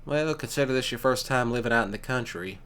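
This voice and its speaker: A man's voice: medium pitch, a bit bright, smooth, close to an everyday voice. A man playing an easygoing, affectionate country boyfriend in an ASMR roleplay, chatting casually and teasingly with his sweetheart. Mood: wistful, intimate. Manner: casual, teasing, folksy, with pauses. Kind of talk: ASMR boyfriend roleplay, one-sided conversation.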